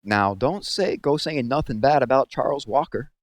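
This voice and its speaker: A drunk small-town lawman from a storybook, acted out by a male reader, leering and smug. He drawls slowly and taunts with menacing ease, in a close, dry sound. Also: mid-range voice, animated, no room sound, pitched above the performer's own voice, lightly acted. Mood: leering, taunting.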